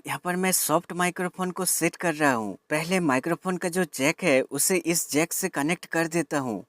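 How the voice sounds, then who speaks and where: A man's voice: a little high, a bit bright, no room sound. A man narrating a step-by-step product tutorial in an instructional way, addressing viewers as friends and mixing in English words. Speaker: tech reviewer giving an installation tutorial.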